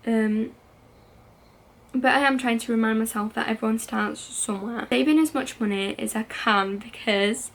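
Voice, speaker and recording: A woman's voice: a little high, normal speed. A woman, talking candidly and casually to camera as a vlogger, with frequent "like" and "um" fillers. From United Kingdom. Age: late teens. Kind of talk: casual confessional talk to camera.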